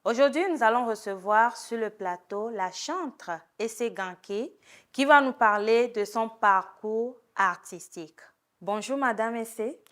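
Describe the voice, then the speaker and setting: A woman's voice: a little high, unhurried, a bit bright. A woman hosting a TV interview, addressing her guest politely and formally. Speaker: TV show host.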